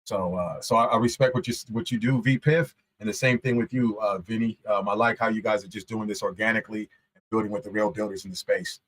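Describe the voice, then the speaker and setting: A man's voice: fairly low pitch, fairly even intonation, quick pace, hardly any pauses, a bit bright, poor audio. A man whose first language is Shona, a guest talking casually on a podcast, laid-back and full of slang.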